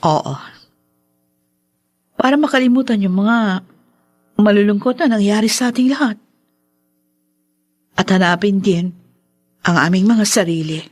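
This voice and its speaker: A woman's voice: medium pitch, a little slow, pauses often, lightly acted. A woman playing a hurt but resolute grown daughter in a radio drama, speaking earnestly and with feeling. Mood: calm, conciliatory. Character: wounded grown daughter, resolute, regretful and forgiving. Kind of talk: Tagalog radio drama acting.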